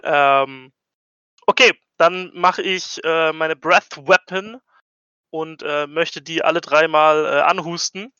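A man's voice: fairly high pitch, steady tone, slow, pushed and bright, clean tone, no echo, dull audio. A man playing a tabletop role-playing game, chatting casually and playfully and dropping in English phrases.

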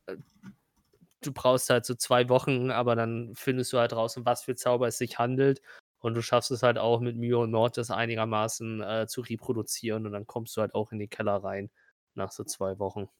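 A man, improvising colloquial game master narration in halting sentences. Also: mid-range voice, completely flat delivery, clear, resonant tone, no room sound, clean studio sound.

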